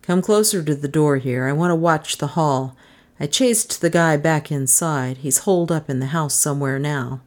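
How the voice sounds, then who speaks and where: A tough, terse man of crime fiction acted by a woman narrator, speaking in a lowish register. The delivery is clipped and matter-of-fact. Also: normal speed, natural voice.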